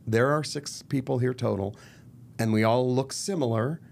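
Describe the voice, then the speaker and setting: A man's voice: mid-range voice, normal speed, gravelly, a little hiss or hum. A middle-aged man talking animatedly as a guest in a podcast studio, speaking into a desk mic. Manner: animated, gestures a lot while talking. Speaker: guest, murder mystery company founder. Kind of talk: casual podcast interview. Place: purple-lit podcast studio. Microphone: desk mic on boom arm.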